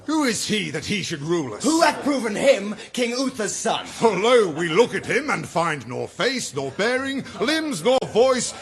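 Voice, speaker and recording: An actor playing a scornful rebel baron in a verse drama, jeering and declaiming theatrically. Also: male voice, sits high, big pitch swings, unhurried, gravelly, few pauses, a bit bright, faint music behind, exaggerated voice. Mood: scornful, defiant. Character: scornful rebel barons disputing a new king.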